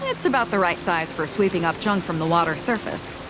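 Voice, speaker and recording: Actress as a brash, animated sea captain woman. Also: fairly low pitch, brisk, noisy background, close to an everyday voice. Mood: breezy, hearty. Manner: hearty, blunt, commanding. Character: brash, confident adult female seafaring captain. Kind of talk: video game character voice acting. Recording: music under the voice.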